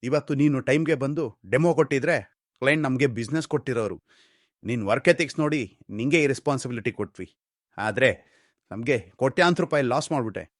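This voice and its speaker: A man plays a scolding office boss in a short drama, with an Indian accent and a mid-pitched voice. He is cold, commanding and exasperated, berating in a dry recording. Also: fairly quick, no echo, lightly acted. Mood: angry, scolding. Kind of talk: short-film drama dialogue, code-mixed.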